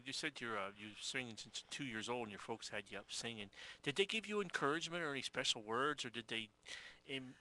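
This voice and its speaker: Casting: middle-aged male radio co-host, animated pitch, very bright tone, eager with quick affirmations, close boom mic. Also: a little hiss or hum, low-quality recording. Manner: eager, many short affirmations. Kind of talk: radio interview. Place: small radio studio.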